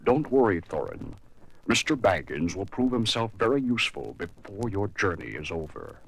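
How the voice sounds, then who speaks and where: A man playing a wise old wandering wizard, calm, measured and reassuring, on a children's storybook record. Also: on the low side, vocal fry, slight background noise, rough recording.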